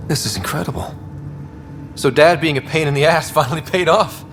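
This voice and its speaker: A man playing an impulsive, wry young space explorer in a video game, with casual, expressive delivery. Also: on the high side, brisk, slight background noise. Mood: amazed, wry. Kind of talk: scripted game dialogue.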